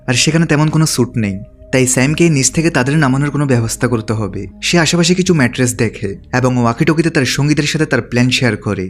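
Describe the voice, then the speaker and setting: A man delivering scripted narration as the narrator of a movie recap. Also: medium pitch. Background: background music.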